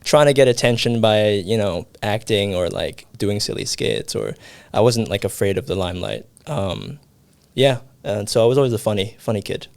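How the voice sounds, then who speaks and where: A young man from Hong Kong talks easily as a podcast guest, loose and chatty with plenty of filler words. His voice sits fairly low, and the recording is dry and close with no room sound. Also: moderate pace, creaky, no echo. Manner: relaxed, chatty, many fillers. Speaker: guest, production company founder. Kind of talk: casual interview. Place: video podcast studio.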